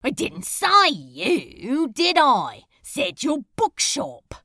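A woman's voice: high-pitched, wildly swooping pitch, pushed and bright, no room sound, studio quality, full character voice. A woman playing a sardonic, secretly tender male demon in an audio fan fiction drama, drawling and teasing. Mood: sarcastic, goading. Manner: drawling, teasing, drawn-out enunciation.

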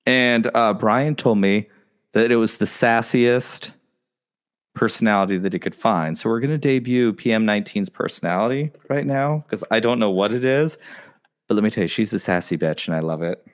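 A man hosting a podcast live stream in casual, excitable chat, rambling with lots of fillers. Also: lowish voice, moderate pace. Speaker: podcast host.

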